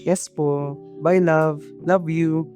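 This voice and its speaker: A man with a Filipino accent playing a gentle, polite young boyfriend in an amateur radio drama. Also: a little high, steady tone, very slow, soft background music. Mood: tender.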